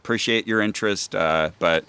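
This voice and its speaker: A man speaking as a podcast guest, telling anecdotes casually and haltingly as he thinks aloud. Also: lowish voice, bright, forceful tone, clear, resonant tone. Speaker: podcaster being interviewed as a guest.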